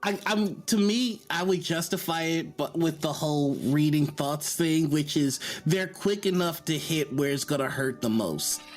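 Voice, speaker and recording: A man's voice: on the high side, a little slow, hardly any pauses. A man speaking as a panelist in a casual podcast discussion about tabletop games, over music.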